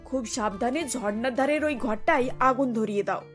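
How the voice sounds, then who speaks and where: High-voiced, commanding older mother-in-law played by a woman. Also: moderate pace, soft background music, natural voice. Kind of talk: dubbed cartoon story dialogue.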